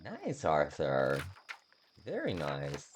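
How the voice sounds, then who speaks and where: Male streamer, very low voice, unhurried, halting and self-deprecating game chat. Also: huge pitch swings, a little hiss or hum. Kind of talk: casual playthrough commentary.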